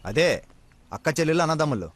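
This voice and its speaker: A man's voice: a little high, sing-song, fast talker, background noise. An actor playing a haughty, suspicious young policeman in a comedy film, interrogating with scorn. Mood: scornful, grilling.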